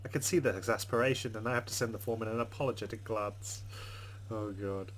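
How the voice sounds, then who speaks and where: A man's voice: lowish voice, fairly even intonation, fast talker, a bit bright, a little hiss or hum. A man reading a visual novel aloud as a let's player, slipping between narration and casual commentary.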